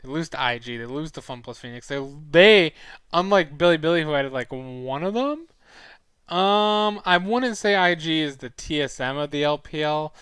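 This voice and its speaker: A man's voice: fairly high pitch, expressive, moderate pace, a bit bright, clean tone, dry recording. A young man hosting a casual live-stream review, chatty and rambling, his throat sore as he starts losing his voice. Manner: chatty and rambling, with frequent fillers and self-corrections. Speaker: esports analyst streaming a weekly review.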